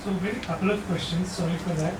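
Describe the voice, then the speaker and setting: A man in the audience puts a question to the speaker, tentative and a bit apologetic. His voice is high for a man. It echoes in a noisy hall. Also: fairly even intonation, quick pace. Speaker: audience member asking a question. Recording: echoey hall with background noise.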